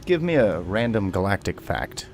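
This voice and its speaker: A man's voice: on the low side, big pitch swings, normal speed, faint music behind. A man giving casual, playful gameplay commentary as a video host, bantering as he goes.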